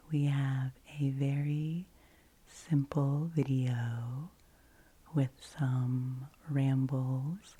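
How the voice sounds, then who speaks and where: A woman hosting an ASMR channel, rambling in a deep, low, soft-spoken voice that keeps dropping into whispers, close to the microphone. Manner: alternates soft low speaking with breathy whispers.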